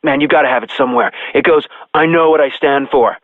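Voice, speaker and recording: An actor playing a blunt, slangy young-rebel type phoning in to a video game radio show, heard through a telephone filter. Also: male voice, mid-range voice, fast talker, no room sound. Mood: wistful, fannish. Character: rowdy, disaffected male anarchist caller to a radio show. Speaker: phone-in caller. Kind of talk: scripted game radio call-in. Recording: tinny, narrow phone line.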